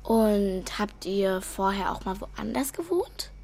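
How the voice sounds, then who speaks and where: A young schoolgirl in a radio play. She is earnest and questioning, a little hesitant, at a medium pitch. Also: animated, slow, creaky, a little mellow, heavily acted. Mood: curious.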